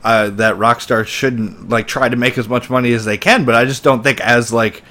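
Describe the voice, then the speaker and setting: A man's voice: medium pitch, steady tone, moderate pace, pushed and bright. A man co-hosting a gaming podcast, pushing back skeptically in casual chat. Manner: skeptical, pushes back, laughs easily. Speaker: podcast co-host.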